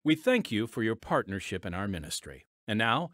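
A male program host delivers a scripted appeal to listeners. He speaks in a measured announcer style with emphatic, varied pitch, recorded close and dry. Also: very animated, a bit bright, no echo.

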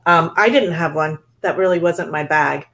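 A woman's voice: lowish voice, moderate pace, fairly bright tone. A woman in her forties from a Cuban family, co-hosting a casual book club chat over a video call, chatty and self-deprecating. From Cuban-American family. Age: 40s. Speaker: book club podcast co-host.

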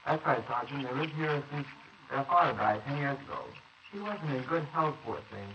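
A man's voice: medium pitch, animated, moderate pace, muffled recording, natural voice. A man playing a talkative neighbor who witnesses the scene and rambles hesitantly, in 1940s radio acting. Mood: rambling. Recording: distant roomy hissy 1940s wire recording.

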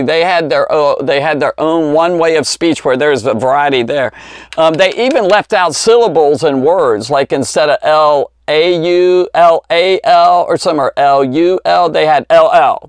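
An American man in his fifties teaches in a conversational, rambling way, circling back to correct himself. His voice sits a little high for a man. The sound is dry and close, like a lapel mic in a small study. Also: smooth, dry recording. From Cincinnati, United States. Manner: rambling, conversational, with false starts and self-corrections. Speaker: Bible teacher. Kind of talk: informal Bible lesson for kids. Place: small indoor study lined with bookshelves. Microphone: lapel mic clipped at the collar.

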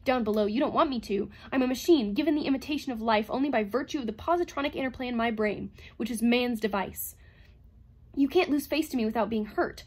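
A woman's voice: a little high, fast, pitched above the performer's own voice, natural voice. A woman voicing an anguished, cornered male robot in a dramatized audiobook, pleading and protesting desperately. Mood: wild despair.

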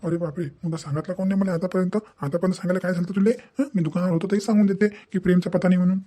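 A man's voice: high-pitched, fairly even intonation, very fast, mellow. A man playing a concerned, blustery village shopkeeper in a cartoon family drama, repeating himself. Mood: alarmed.

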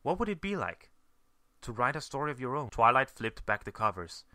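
Bright, forceful man narrating a story. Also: mid-range voice, sing-song, brisk, no room sound, clean studio sound. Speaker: fan fiction reader, narrator.

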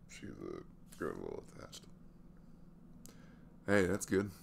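Tired twenties single dad, acted by a man, slow and halting yet expressive, intimate audio roleplay. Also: sits low, vocal fry, background noise, heavily acted. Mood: reassuring. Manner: hesitant, halting, softly chuckling. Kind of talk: intimate ASMR boyfriend-style roleplay.

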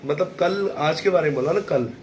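A man in his thirties with a hoarse throat, chatting casually to viewers as a family vlog host. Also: on the high side, a little fast, a crowd in the background. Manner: chatty, teasing, addresses viewers directly. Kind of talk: casual vlog talk to camera. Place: at home, indoors. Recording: noisy home recording.

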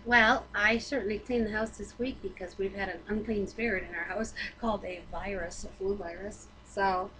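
A woman's voice: mid-range voice, steady tone, moderate pace, few pauses, sharp tone. A woman co-hosting a Bible reading, reading aloud and chatting casually about it. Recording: noisy amateur home recording.

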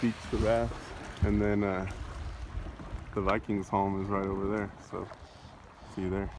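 Low-voiced man vlogging outdoors in noise. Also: little pitch movement, a little slow. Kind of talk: casual travel vlog narration. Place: outdoors on and around a lake. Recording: outdoor camera audio, clear wind and water noise.

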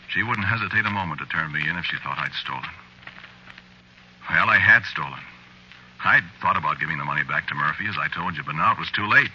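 A deep, hard-edged man's voice narrates a dark 1940s radio play. He is a meek, guilt-ridden husband, halting and brooding as he confesses. The old recording is muffled with faint hiss. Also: breathy edge, exaggerated voice. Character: guilt-ridden meek married man confessing his crimes. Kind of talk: 1940s radio drama acting, first-person narration.

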